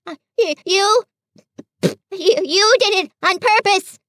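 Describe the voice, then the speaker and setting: A woman doing a squeaky, stammering little piglet. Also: steady tone, takes it slowly, a bit bright, dry recording, studio quality, full character voice. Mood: anxious. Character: tiny timid young male piglet, anxious and squeaky.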